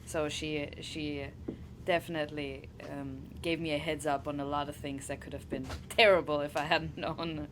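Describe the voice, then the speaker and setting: German-native woman, lower voice, chatty. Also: level tone, background noise. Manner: laughing, halting, restarts often. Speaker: wrestler guest. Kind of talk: casual interview chat.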